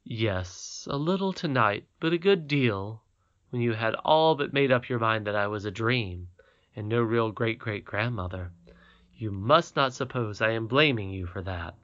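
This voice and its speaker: A man's voice: on the low side, expressive, measured pace, a bit bright, highs cut off. Man reading a wise ancient grandmother. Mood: tender. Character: ancient kindly great-great-grandmother, calm and wise.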